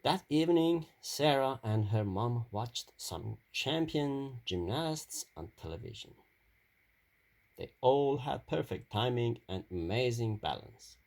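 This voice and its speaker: A man reading a children's story aloud in a halting delivery, stumbling and repeating phrases now and then. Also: medium pitch, expressive, creaky.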